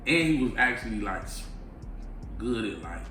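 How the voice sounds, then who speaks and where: A man's voice: fairly low pitch, sing-song, moderate pace, sharp tone, a little hiss or hum. A man from Florida, a rapper, chatting loosely with lots of slang and swearing in an interview. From Fort Lauderdale, Florida. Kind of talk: casual interview chat. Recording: echoey room, mic far off, music behind.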